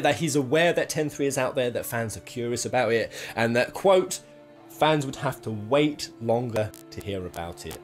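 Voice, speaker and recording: A male commentator talks in a casual, opinionated way, with a medium-pitched voice that moves up and down a lot. He rambles and leans hard on points, swearing mildly. Instrumental music plays behind him. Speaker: video host, game commentator.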